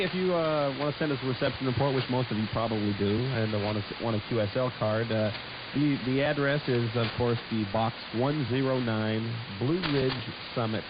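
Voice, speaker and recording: Rambling male pirate-radio host, mid-pitched, casual, stumbling, heard through hissy shortwave. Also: muffled recording. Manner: rambling, hesitant with frequent stumbles. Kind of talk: casual talk show banter.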